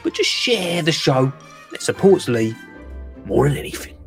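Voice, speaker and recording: A man's voice: on the high side, sing-song, normal speed, gravelly, faint music behind. A podcast host doing a playful, chatty ad break for his own show. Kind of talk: jokey spoken ad break.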